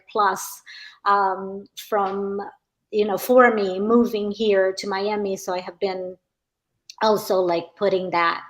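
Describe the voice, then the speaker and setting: Slow-paced Brazilian woman on a panel. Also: mid-range voice, rough recording. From Rio de Janeiro, Brazil. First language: Portuguese. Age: middle-aged. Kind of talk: informal online panel talk.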